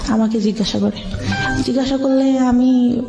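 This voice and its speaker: A woman with a Bangladeshi accent telling her own life story in a radio interview, haltingly, with frequent restarts. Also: fairly high pitch, steady tone, vocal fry. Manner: halting, with repeated pauses and restarts. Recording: music playing behind the voice. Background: background music.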